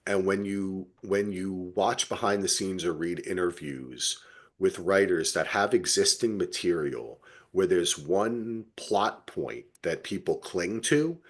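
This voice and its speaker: A man podcasting in casual review chat, his pitch rising and falling in lively swings. The voice is somewhat husky, and he speaks with emphatic, opinionated conviction, swearing freely. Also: slow pace. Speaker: podcast co-host.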